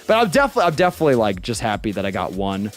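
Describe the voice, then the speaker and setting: A twenty-year-old man chatting casually on a livestream, animated and swearing, close to a boom-arm studio mic in a small bedroom. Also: very fast, soft background music. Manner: animated, swearing, talks with his hands. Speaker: streamer. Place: small bedroom streaming room with purple lights. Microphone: studio mic on boom arm, headphones.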